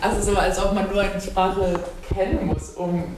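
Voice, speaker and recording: A man who is a literary translator, speaking non-native German in a lively way during a panel discussion and laughing as he talks. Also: high-pitched, normal speed, very noisy. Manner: lively, laughs while talking. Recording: very echoey room from far off.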